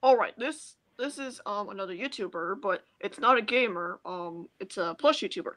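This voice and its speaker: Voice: mid-range voice, moderate pace, fairly bright tone. A hesitant speaker thinking aloud while casually hosting a guessing game on a video call. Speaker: co-host of an online puppet show.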